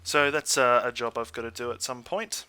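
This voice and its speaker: An Australian man giving casual, chatty let's play commentary in an easygoing way, thinking out loud as he goes. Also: medium pitch, steady tone, normal speed, clear, resonant tone, no echo. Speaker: gaming YouTuber.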